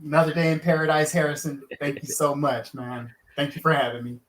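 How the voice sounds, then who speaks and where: Earnest man, halting with fillers. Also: fairly high pitch, little pitch movement, fairly bright tone, reverberant, a little hiss or hum, poor audio. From Kansas, US. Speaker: guest, lawyer and author. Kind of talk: interview answers.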